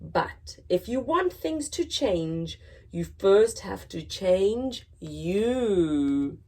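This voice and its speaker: A woman reading a children's picture book aloud in a dramatic, expressive storytelling manner, moving between hushed and emphatic delivery. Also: mid-range voice, very slow. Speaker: story time reader.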